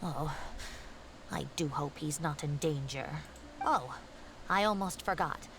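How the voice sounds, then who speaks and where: A woman voicing a fretful, fond older professor in a video game, expressive, with old-fashioned turns of phrase. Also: deep voice, slow, background noise. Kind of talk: scripted video game voice acting.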